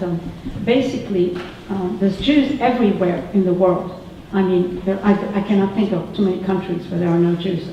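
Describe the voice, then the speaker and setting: A woman's voice: medium pitch, a little hiss or hum. An older woman from Egypt asking a question from the audience, rambling and conversational. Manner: rambling, conversational, quotes others in lively voices. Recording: echoey room, distant, dull and lo-fi.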